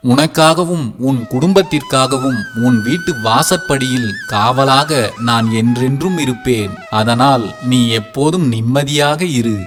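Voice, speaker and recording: Soothing protective deity voiced by a man, calm devotional address, mid-pitched, faint music underneath. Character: gentle, protective male deity speaking to a devotee. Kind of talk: scripted devotional narration. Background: soft background music.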